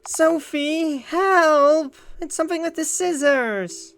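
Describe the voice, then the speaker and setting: Performer putting on a high, mocking, hot-tempered girl. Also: takes it slowly, fairly bright tone, heavily acted. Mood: panicked pleading. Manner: taunting, theatrical. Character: shrill, mocking, hot-tempered teenage girl in a visual novel.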